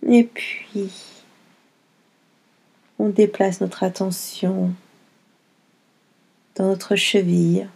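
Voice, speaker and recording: A woman guiding a yoga nidra meditation in a gentle, unhurried manner, giving soothing instructions in short phrases with long pauses. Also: medium pitch, little pitch movement, vocal fry, slightly rough.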